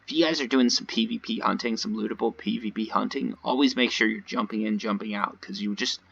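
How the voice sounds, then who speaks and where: A man with a slightly hoarse voice gives casual, rambling gameplay commentary, talking directly to his viewers in a conversational way. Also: fairly low pitch, a little fast, a bit bright, dull audio. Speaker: gaming video creator. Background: voice-over recorded over gameplay.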